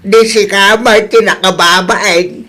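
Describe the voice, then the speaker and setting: A man's voice: high voice, steady tone, slow, pushed and bright, heavily acted. A man acting an older village father in a radio comedy drama, chiding and preachy. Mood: mocking scolding.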